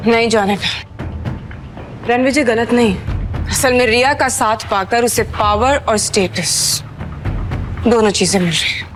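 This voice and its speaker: A woman's voice: a little high, a little slow, pauses often, soft background music, close to an everyday voice. A woman playing a proud, scornful CEO and betrayed ex-wife in a Hindi audio drama, indignant and cutting. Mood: proud, determined.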